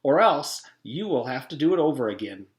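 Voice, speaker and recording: A man's voice: medium pitch, sing-song, moderate pace, natural voice. A man voicing a stern, bossy woman teacher with strict, commanding speech in a children's storybook. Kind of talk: children's storybook read-aloud. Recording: echoey room.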